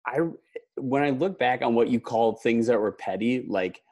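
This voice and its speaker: A friendly host runs a casual interview, asking open questions. The phrasing is loose and halting, with frequent restarts. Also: medium pitch, darkish tone.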